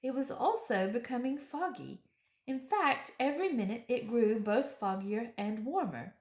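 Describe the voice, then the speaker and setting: A woman reading a children's story aloud expressively, with deliberate phrasing and dramatic emphasis. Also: fairly high pitch, animated, reverberant. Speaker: storytime reader.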